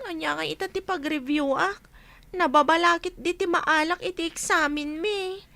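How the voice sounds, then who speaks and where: Woman playing a shrill, fretful young schoolgirl. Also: normal speed, gravelly, pushed and bright, dry recording, exaggerated voice. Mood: worried. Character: fretful schoolgirl complaining to her mother. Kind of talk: Filipino comedy radio drama acting.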